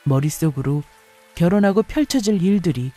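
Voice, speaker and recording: A woman's voice: lowish voice, lively intonation, normal speed, warm tone, faint music behind. A woman reading a scripted first-person story as a narrator.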